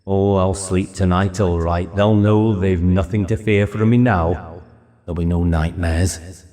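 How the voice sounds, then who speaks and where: Man acting a weary, bitter, low-voiced policeman. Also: steady tone, unhurried, dark tone. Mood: broken, self-pitying. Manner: sardonic, self-pitying. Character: weary, bitter policeman, despairing and self-loathing. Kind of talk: dramatised audiobook dialogue.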